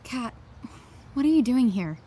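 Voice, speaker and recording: A woman's voice: on the high side, big pitch swings, unhurried, mellow, breathy edge, heavily acted. An actress playing an anxious, defensive teenage girl in a naturalistic audio drama, with a sarcastic, exasperated edge. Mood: guarded, hurt.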